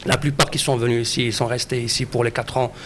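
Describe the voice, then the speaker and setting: A man from Morocco answering interview questions, hesitant with many pauses and fillers. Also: mid-range voice, level tone, fairly bright tone, slight background noise.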